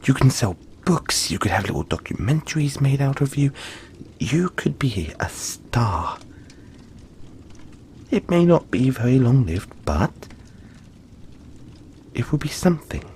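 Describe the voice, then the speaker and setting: A man voicing a gentle, cuddly young yeti in a soft-spoken, hesitant, soothing roleplay addressed to the listener. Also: mid-range voice, big pitch swings, a little slow, pauses often, slight background noise. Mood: encouraging, musing.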